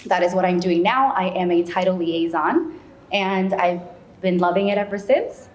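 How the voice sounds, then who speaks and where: A woman's voice: fairly low pitch, moderate pace. A woman who grew up in the United States, answering as an interview guest in a chatty, conversational way with frequent small asides. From United States, born in Peru. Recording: echoey room, faint background noise.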